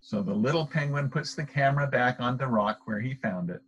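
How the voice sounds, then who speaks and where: A middle-aged man reading a children's picture book aloud in a deliberate, expressive storytelling manner, recorded outdoors. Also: medium pitch, highs cut off. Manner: deliberate, expressive delivery for young listeners. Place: outdoors, in an open wooded park.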